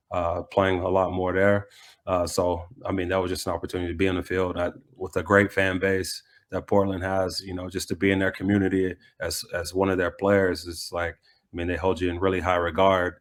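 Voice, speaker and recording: Low, even-toned man in casual interview. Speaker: soccer coach and former pro player being interviewed. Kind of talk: casual reflective interview answers.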